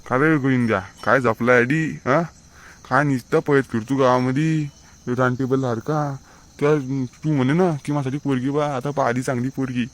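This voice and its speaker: A man's voice: mid-range voice, steady tone, normal speed, close to an everyday voice. A man playing a scolding, stingy, unschooled village father in a comic cartoon, with a rural Maharashtrian accent. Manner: blustering, scolding.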